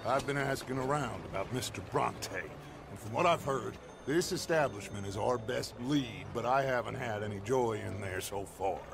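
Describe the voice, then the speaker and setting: An actor playing a commanding, theatrical middle-aged American outlaw leader in a western game, with grandiloquent speech and a gravelly voice. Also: male voice, medium pitch, normal speed, close to an everyday voice. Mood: assured, grandiose. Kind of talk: cinematic western game acting. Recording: music behind the voice. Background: music.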